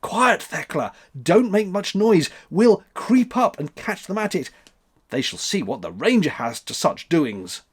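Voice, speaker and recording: A man's voice: sits high, sing-song, a little slow, slightly rough, voice pushed up, full character voice. A man voicing a bold, suspicious eleven-year-old boy in a storybook reading, urgent and bossy.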